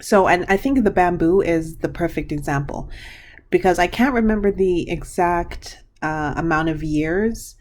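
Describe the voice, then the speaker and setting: Chatty, slightly hoarse tarot reader woman. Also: fairly low pitch, a little slow, darkish tone, a little hiss or hum. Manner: chatty, meandering, many fillers and restarts. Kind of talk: pick-a-card tarot reading.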